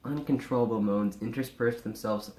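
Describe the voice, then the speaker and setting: A man reads fan fiction aloud in a casual, halting way, slipping between narration and chat. His pitch is average for a man, and the room around him sounds noticeably echoey. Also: darkish tone. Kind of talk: informal live story reading and casual chat.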